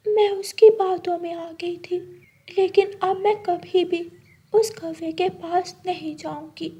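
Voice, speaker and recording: A woman's voice: very high-pitched, little pitch movement, slow pace, mellow. A woman voicing a naive, earnest young female goat in a cartoon story. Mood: regretful.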